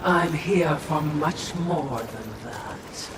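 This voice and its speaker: A woman's voice: low voice, extremely slow, background noise. An actress playing a sly spectral female watcher in a video game, speaking in a wry, mocking way. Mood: teasing. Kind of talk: video game cutscene acting. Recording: loud game ambience behind voice.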